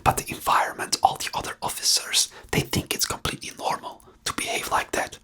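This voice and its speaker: An ASMR host who speaks in a breathy whisper with a non-native English accent, rambling hesitantly and searching for words while giving commentary. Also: a little fast, bright, forceful tone. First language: not English.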